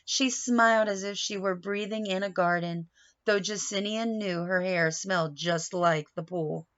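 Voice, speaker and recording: A woman reading a picture book to young children like a teacher, pausing to explain and put questions to her listeners. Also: mid-range voice, a bit bright, dull audio. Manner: instructive, questioning, addresses young listeners directly.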